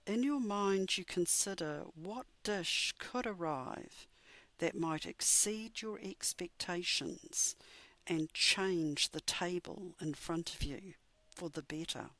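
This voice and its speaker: A woman leading a scripted guided meditation in a soothing, measured manner with deliberate pauses. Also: lowish voice, a bit bright, no echo.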